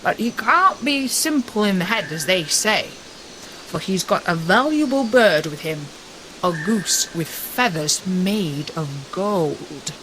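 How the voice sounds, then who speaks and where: Lady doing a sly innkeeper man from a fairy tale, deliberate, up and down pitch. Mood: sly, intrigued. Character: male innkeeper, sly father eyeing treasure. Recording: steady noise background.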